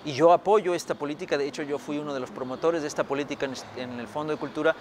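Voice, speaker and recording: Middle-aged man from Mexico, slightly high voice, reflective interview answers with hesitations, faint traffic outdoors. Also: fairly even intonation, fast. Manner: thoughtful, many fillers and restarts. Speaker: historian author. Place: outdoors by a building.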